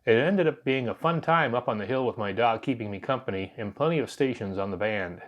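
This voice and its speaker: Male narrator for a hobby radio vlog, mid-pitched voice, scripted storytelling delivery. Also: brisk, lo-fi sound. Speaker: amateur radio video narrator.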